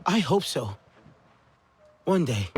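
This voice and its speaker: Earnest young alien man, high voice with animated swings, anxious, video game drama. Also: slow, slight background noise. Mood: earnest, concerned.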